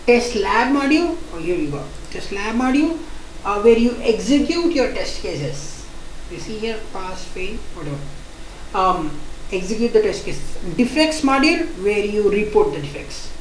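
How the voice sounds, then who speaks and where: A woman with an Indian accent teaching an online software testing course, explaining quickly and repeating points with frequent restarts. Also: medium pitch, very animated, slight background noise. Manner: repetitive, restarts often, fast-paced explaining. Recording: echoey room.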